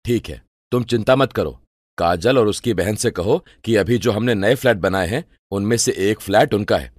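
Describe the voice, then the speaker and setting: A man's voice: on the low side, normal speed, slightly rough, no room sound, studio quality. A man playing a rich, generous husband in storybook cartoon dialogue, composed and reassuring. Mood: approving, reassuring.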